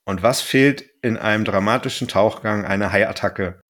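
A man's voice: fairly low pitch, moderate pace, a bit bright. Rambling man in podcast chat. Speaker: podcast host.

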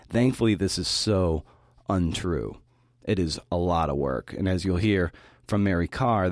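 Low-voiced man giving a conversational talk. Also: no echo, clean studio sound. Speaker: podcast host giving a talk. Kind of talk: prepared talk with conversational asides.

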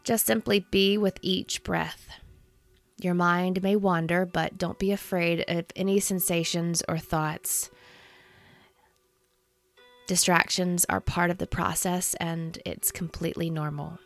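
A woman in her thirties leading a guided meditation in a soothing, gentle, unhurried manner. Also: fairly low pitch, fairly even intonation, soft background music. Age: 30s.

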